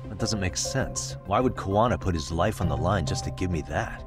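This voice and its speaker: A man's voice: deep voice, brisk, over music, natural voice. An actor playing a guarded, determined private detective in his thirties in a video game. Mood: serious, resolute. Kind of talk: video game cutscene dialogue.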